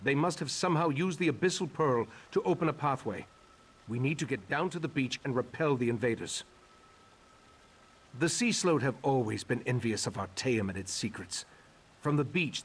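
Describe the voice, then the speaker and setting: A man playing a grave, scholarly leader of a mage order in a video game, speaking in a measured, formal way. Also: medium pitch, slightly rough. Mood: urgent. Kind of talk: scripted video game character dialogue. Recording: long echoing hall reverb.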